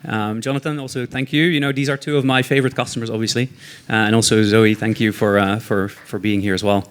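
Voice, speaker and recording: A man opening a live panel event with welcome remarks, hesitant and filling his pauses with ums. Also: on the low side, fairly even intonation, clear, resonant tone. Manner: hesitant, full of ums and filler. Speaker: sponsor representative opening the event. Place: event room with an audience.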